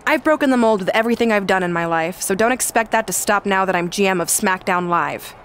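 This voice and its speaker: A woman's voice: mid-range voice, fast, fairly bright tone, a little hiss or hum, lightly acted. A woman playing a smug, self-assured fighter turned wrestling boss in a video game, taunting and self-important. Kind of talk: wrestling game cutscene acting.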